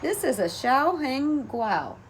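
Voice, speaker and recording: A woman reading the part of a patient, practical mother in a children's story, calmly explaining. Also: high-pitched, slow pace, voice pushed up, exaggerated voice. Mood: patient, assured. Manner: calm, explaining. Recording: noticeable background noise.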